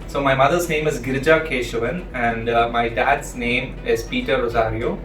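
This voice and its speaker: Man, 30s, from India, relaxed vlog co-host, middle pitch, faint music behind. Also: moderate pace. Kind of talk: casual chat. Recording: roomy, from a distance, soft music.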